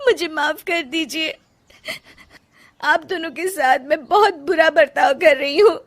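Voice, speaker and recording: A woman playing an unhappy young daughter-in-law in an animated moral story, speaking in a plaintive, emotional way. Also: high voice, measured pace. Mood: tearful, despairing.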